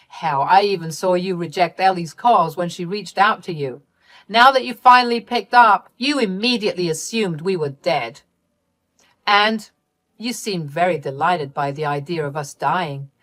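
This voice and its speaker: A woman's voice: on the low side, fairly bright tone, no echo. A woman voicing a stern, betrayed mother in a story, scolding her grown daughter with bitter sarcasm.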